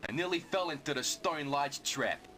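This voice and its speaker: An actor playing a smug, self-important railway station master who boasts about chess. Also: male voice, a little high, expressive, moderate pace, sharp tone, slight background noise. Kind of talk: 1990s adventure game voice acting.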